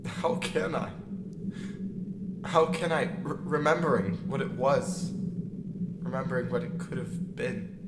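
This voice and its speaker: A man playing a grieving, lonely young man in an anguished, halting audio drama monologue. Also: medium pitch, lightly acted. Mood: desperate. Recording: roomy echo with clear background noise.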